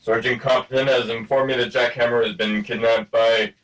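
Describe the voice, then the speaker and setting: An actor playing a stern, self-important secret service chief in a comic spy radio play. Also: male voice, mid-range voice, little pitch movement, normal speed, fairly bright tone, distant mic, lightly acted, low-quality recording. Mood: official, exasperated.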